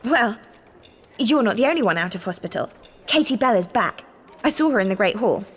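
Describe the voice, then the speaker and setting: An English actress playing a sensible, exasperated, bossy teenage girl witch in a video game, with a slightly husky voice. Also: fairly high pitch, lively intonation, fairly quick. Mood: matter-of-fact.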